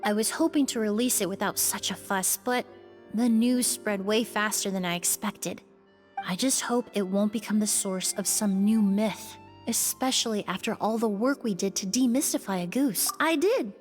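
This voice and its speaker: A woman voicing a composed, polite young perfumer in a video game, calm, precise and explanatory. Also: mid-range voice, moderate pace, soft background music, close to an everyday voice. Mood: gentle, modest.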